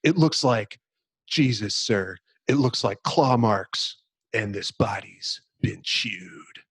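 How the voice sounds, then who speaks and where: A man's voice: fairly low pitch, lively intonation, slow, breathy edge, no room sound, exaggerated voice. A man voicing a tense young male Marine who reports in horror, in a strained voice, as part of a dramatic book reading. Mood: tense, shaken.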